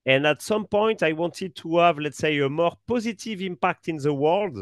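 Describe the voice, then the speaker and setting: A middle-aged man with a French accent talks through a casual interview, hesitant and filling gaps with small pauses. His voice sits slightly high for a man and sounds close and dry, with no room around it. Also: dry recording. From France. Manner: hesitant, many "uh" fillers, self-deprecating. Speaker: app co-founder, guest.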